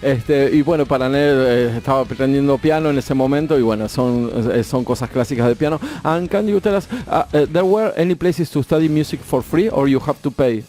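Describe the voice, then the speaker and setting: Halting Argentine interviewer, man. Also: medium pitch, warm tone. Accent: Argentine Spanish. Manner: halting, many fillers, switches between Spanish and English. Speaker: radio co-host and interviewer. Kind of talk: bilingual interviewing with live translation.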